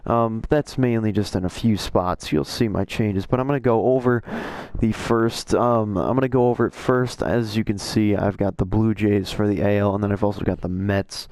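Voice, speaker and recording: A man with a hoarse, slightly worn-out voice gives casual, rambling commentary as a YouTube creator, often correcting himself mid-sentence as he shares his opinions. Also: lowish voice, steady tone, moderate pace, a little mellow, no room sound. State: hoarse, voice a little shot. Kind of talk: casual commentary over an on-screen roster.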